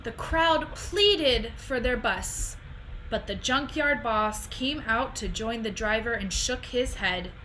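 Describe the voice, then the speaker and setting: A young woman reading a children's picture book aloud in an expressive, deliberate storytelling style, recorded at home on a computer microphone. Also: fairly high pitch, slight background noise, rough recording. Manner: animated, deliberate phrasing.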